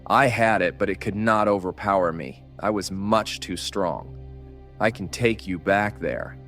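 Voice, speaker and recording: Man playing a deep-voiced, boastful medieval survivor. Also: unhurried, fairly bright tone, soft background music. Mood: proud insistence. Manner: boastful, assured. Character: proud, hardy male plague survivor. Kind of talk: historical audio drama acting.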